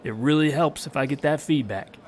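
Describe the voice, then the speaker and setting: A man's voice: a little high, fairly quick. Man hosting an instructional podcast. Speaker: podcast host. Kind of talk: scripted podcast monologue explaining tips.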